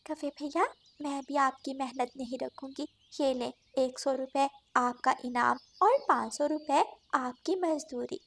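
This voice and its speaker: A woman's voice: high-pitched, measured pace, vocal fry, few pauses. A woman voicing a kind, fussy mother bird in a children's cartoon, courteous but insistent. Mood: grateful. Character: kind, fussy female songbird homeowner and mother. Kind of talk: Hindi children's cartoon dialogue.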